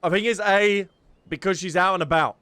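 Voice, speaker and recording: A man's voice: high-pitched, brisk, sharp tone, clean tone, no room sound. A man commentating a game playthrough, chatty and jokey, often laughing. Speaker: gaming streamer. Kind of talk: let's play commentary.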